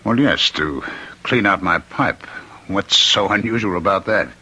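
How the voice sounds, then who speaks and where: Halting, sharp-edged haunted professor. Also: male voice, low voice, slightly rough, exaggerated voice, rough recording. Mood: concerned, uneasy. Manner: hesitant, halting, theatrical. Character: skeptical parapsychology professor, later a haunted condemned prisoner. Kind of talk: old-time radio drama acting.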